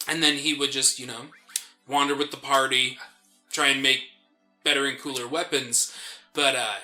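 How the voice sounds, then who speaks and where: A man's voice: mid-range voice, little pitch movement, normal speed, sharp tone. A young man co-hosting a gaming show, chatting casually in a rambling, slangy way as he plays. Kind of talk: casual chat during video game commentary. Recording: game music behind the voice.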